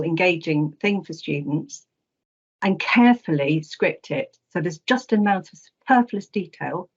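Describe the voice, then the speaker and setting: A woman academic giving a halting, hesitant slide presentation on a webinar. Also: lowish voice. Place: online webinar call. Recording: dull lo-fi webinar audio.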